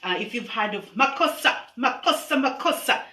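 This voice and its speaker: A bright-voiced woman hosts a relaxed music livestream, talking loosely with lots of "uh" and "um" and restarting her sentences. She sits back from the mic in a roomy space with a faint hiss behind her. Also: a little high, moderate pace, far from the mic. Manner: chatty, hesitant with many fillers. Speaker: host. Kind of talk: casual livestream music show. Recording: echoey room, off-mic, faint noise.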